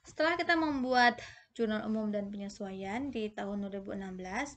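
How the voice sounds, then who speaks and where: A woman's voice: mid-range voice, slight background noise. A woman explains step by step as a tutor in an informal lesson, often posing questions and adding conversational tags. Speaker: accounting tutor presenting a video lesson.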